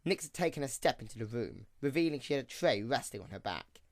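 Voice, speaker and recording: A man's voice: medium pitch, expressive, quick pace. A man reading scripted story narration for an audio drama.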